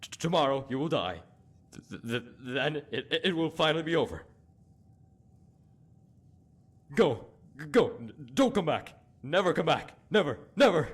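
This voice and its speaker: Agitated, stammering persecutor. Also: male voice, on the high side, sing-song, moderate pace, slightly rough. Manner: stammering, repeats words. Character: hostile persecutor, agitated, stammering man of menace. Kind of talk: fantasy game character dialogue.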